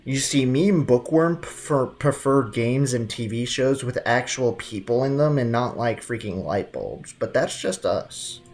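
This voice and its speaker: A man's voice: medium pitch, lively intonation, unhurried, few pauses, darkish tone. Casual, slangy young male game streamer. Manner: slangy, playful, banters with viewers. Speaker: video game live streamer. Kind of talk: casual chat while gaming. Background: game music.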